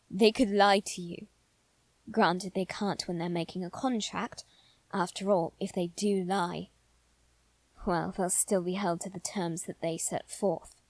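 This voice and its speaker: A woman voicing an anxious aristocratic boy in a scripted audio roleplay, speaking formally and haltingly with dramatic pauses. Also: fairly low pitch, darkish tone, no echo. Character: anxious aristocratic boy lord bound to demons. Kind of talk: scripted ASMR roleplay addressed to the listener.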